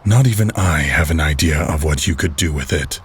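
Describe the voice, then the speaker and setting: A man's voice: rumbling low, a little fast, slight background noise. An actor playing a stern, sceptical male antihero in a video game, speaking in a grave, blunt and dismissive way. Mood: grave resolve. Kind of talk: dramatic video game voice acting.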